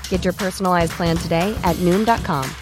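A woman gives a brisk, polished advertisement read with a loud music bed behind her. Her voice sits fairly low for a woman. The delivery is smooth and scripted. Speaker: ad announcer.

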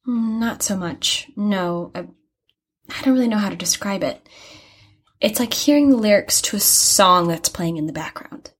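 An earnest young female student in an audio drama, with a slightly husky, breathy voice. She talks openly and chattily, rambling and hesitating as she searches for the right words. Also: medium pitch, expressive, measured pace. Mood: searching, explaining. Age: young adult. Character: earnest, chatty young art student who hears angels. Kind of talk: naturalistic audio drama acting.

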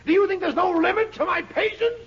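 An actor playing a scheming, spiteful small-town shop owner in a 1940s radio comedy, fussy and theatrically indignant, with drawn-out sarcasm. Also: male voice, very high voice, gravelly, very noisy. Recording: muffled vintage 1940s radio broadcast.

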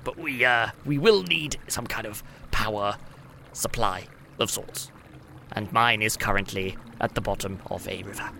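Guy doing smug sarcastic genius doctor for a ttrpg, bright creaky voice, bit of background noise. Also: on the low side, normal speed, voice pushed down. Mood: boastful. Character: self-proclaimed genius male doctor and fixer, vain, sardonic.

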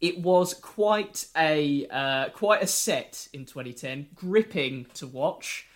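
Wry podcast host, slightly high voice. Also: male voice, animated, slow. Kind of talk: casual podcast chat.

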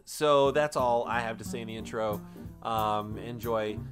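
A man's voice: fairly low pitch, measured pace, over music. A man hosting a podcast, rambling playfully with lots of filler sounds. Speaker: podcast host. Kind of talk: casual podcast intro and chat.

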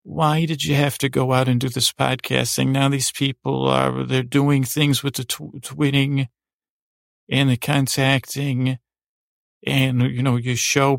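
A man's voice: medium pitch, little pitch movement, a little slow, creaky, no room sound, studio quality. A man voicing an anxious, doom-saying old grandmother who frets and scolds in an improvised bedtime podcast. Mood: worried scolding. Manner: worried, scolding, repeats oh dear and sighing complaints.

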